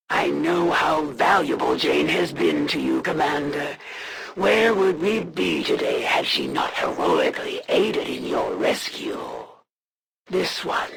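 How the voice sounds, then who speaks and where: A woman voicing a proud, menacing female alien assassin in a video game, speaking in a haughty, formal, taunting manner with a gravelly voice. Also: on the low side, a bit bright, heavily acted. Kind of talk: video game villain voice lines.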